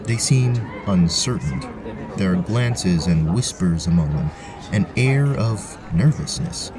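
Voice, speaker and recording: A man's voice: low voice, animated, slow pace, over music, a crowd in the background. A man narrating a scripted fantasy story as the game master of an audio drama.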